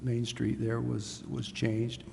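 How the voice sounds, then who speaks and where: A man speaking as a city official at a public meeting, explaining in hesitant, deliberate phrases. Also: mid-range voice, little pitch movement, gravelly, darkish tone. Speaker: city administrator. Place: council chambers. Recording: reverberant hall with faint noise.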